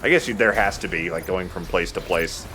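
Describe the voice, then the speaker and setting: A man's voice: low voice, brisk, music in the background. A man giving casual gameplay commentary as a let's play host, with jokey, sweary banter. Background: video game sound.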